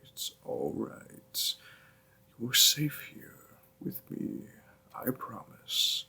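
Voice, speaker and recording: Man playing a very slow, husky demon boyfriend. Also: medium pitch, very animated, heavily acted. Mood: soothing, apologetic. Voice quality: husky, breathy, creaky. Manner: slow, hushed, tender, with pauses. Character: gentle, doting male demon boyfriend, apologetic and soothing. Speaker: audio roleplay performer. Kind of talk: intimate scripted boyfriend roleplay.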